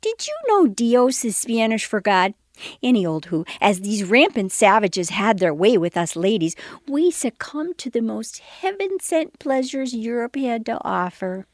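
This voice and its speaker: Animated old woman reminiscing. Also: fairly high pitch, unhurried, dry recording, studio quality. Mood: wistful, relishing. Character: elderly woman reminiscing wistfully about racy youth. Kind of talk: sketch comedy acting.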